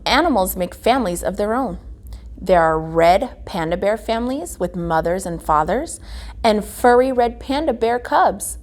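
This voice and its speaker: A woman reading a children's picture book aloud as a guest reader, phrasing it deliberately with emphasis. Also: a little high, darkish tone. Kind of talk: children's storybook read-aloud.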